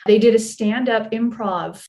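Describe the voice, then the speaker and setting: A woman's voice: fairly high pitch, measured pace, dark tone, poor audio. An American woman, an actress being interviewed, telling stories casually in a chatty, self-deprecating way. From California and Oregon, United States. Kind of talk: casual storytelling chat.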